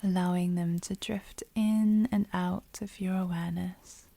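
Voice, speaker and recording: A woman guides a meditation in a very dark, velvety voice, soft and breathy to the edge of a whisper. Her delivery is slow and soothing, with gentle pauses between phrases. The sound is close and dry. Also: lowish voice, steady tone, no echo. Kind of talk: guided yoga nidra meditation.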